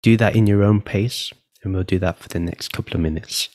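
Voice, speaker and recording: A man with a low-pitched voice guides a meditation. He gives soft, unhurried spoken instructions, leaving pauses between short phrases. The delivery is that of a podcast host leading listeners through an exercise. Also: steady tone.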